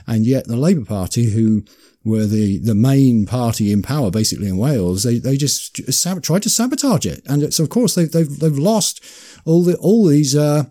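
A man's voice: mid-range voice, mellow. A man hosting an internet radio talk show, speaking in an emphatic, gleeful way as he runs through a list.

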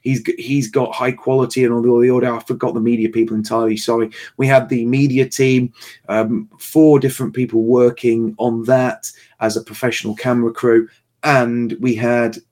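A man hosts a casual livestream in a tired voice that is a little hoarse. His delivery is halting and rambling, with a mid-range pitch. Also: little pitch movement, darkish tone. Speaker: host of a livestream. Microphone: livestream call microphone.

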